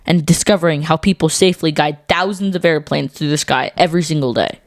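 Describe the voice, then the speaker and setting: Low-voiced woman co-hosting an educational podcast. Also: a little fast, no echo, studio quality. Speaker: podcast co-host. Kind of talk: scripted educational podcast for children.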